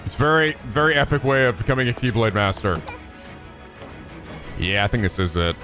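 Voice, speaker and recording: A man's voice: mid-range voice, expressive, moderate pace, pushed and bright. A man hosting a let's play, giving casual reaction commentary in a sweary, incredulous, jokey way. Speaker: let's play video host. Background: game cutscene music.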